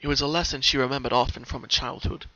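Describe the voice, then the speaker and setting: A man's voice: mid-range voice, steady tone, fast, pushed and bright, no echo. A man reading a story aloud as narrator, in a dramatic style. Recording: dull, muffled home recording.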